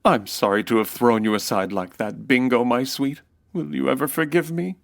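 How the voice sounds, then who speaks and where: An actor playing a dense, doting, theatrical rich groom in an old-time radio comedy, gushing with pet names. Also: male voice, mid-range voice, normal speed, vocal fry, lightly acted. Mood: lovestruck.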